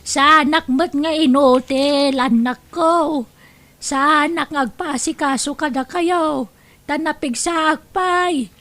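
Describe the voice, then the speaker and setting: A woman's voice: high-pitched, slow, fairly bright tone, dry recording. A woman playing a stubborn, plain-spoken elderly mother in an Ilocano comedy radio drama. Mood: calm, stubborn. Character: frail-living, stubborn elderly mother and grandmother.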